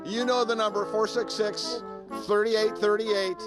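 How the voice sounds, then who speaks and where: A man's voice: high voice, little pitch movement, unhurried. A man reporting live on location for TV news in an enthusiastic, ad-libbed way. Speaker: TV field reporter. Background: live brass band music.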